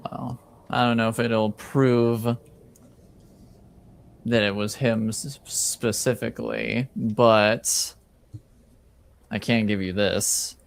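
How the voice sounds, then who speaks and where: A man performs a slippery male informant from a fantasy underworld. He talks slowly and evasively with a dry, smug reserve. His voice is lowish and smooth. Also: fairly even intonation. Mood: guarded. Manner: evasive, measured, hesitant.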